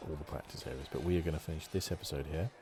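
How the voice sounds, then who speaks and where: A man giving soft, relaxed ASMR commentary over a video game. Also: a bass voice, very fast. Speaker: gaming channel host. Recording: clear background noise. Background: game ambience.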